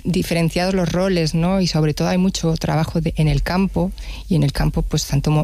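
A woman's voice: sits low, mellow, no echo. Reflective woman musician, dry sound. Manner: thoughtful, reflective, with hesitations. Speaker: guest musician. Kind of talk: conversational interview.

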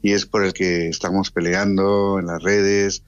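An older man from Spain, a guest in a radio interview, talking in an earnest, halting way with many restarts. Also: sits low, little pitch movement, clean tone, dry recording, dull audio. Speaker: guest, brother of a late singer.

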